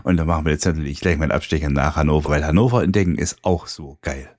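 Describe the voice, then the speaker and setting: A man's voice: extremely low pitch, racing, darkish tone, no echo, studio quality. A man in his fifties with a Saxon accent, hosting a Sunday morning radio show in a chatty, rambling way. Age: 50s. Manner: rambling, chatty, with colloquial asides. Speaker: radio DJ and host. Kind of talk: casual morning radio chat.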